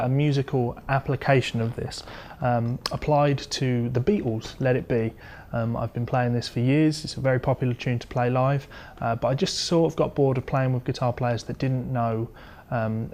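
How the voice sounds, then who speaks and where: A man's voice: medium pitch, darkish tone, a little hiss or hum. A man giving an informal, conversational guitar lesson, explaining things as a teacher would to a student. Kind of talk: informal instructional talk with demonstrations.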